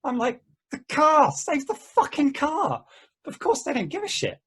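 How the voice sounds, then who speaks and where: A man reading the part of an excitable, exasperated guy recounting mishaps in casual conversation at an amateur play table read. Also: very high voice, moderate pace, exaggerated voice. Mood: agitated.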